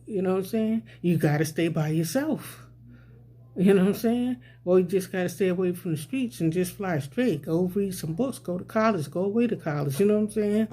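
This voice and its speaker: A man's voice: high-pitched, brisk, warm tone. A man vlogging a rambling, casual social commentary, often repeating "you know what I'm saying.".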